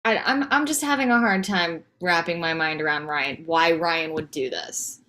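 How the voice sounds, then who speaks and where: A woman's voice: on the low side, normal speed, pushed and bright. A woman playing a frazzled, firm young wife and mother of toddlers in a comedy table read, speaking in a dry, weary conversational way. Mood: puzzled.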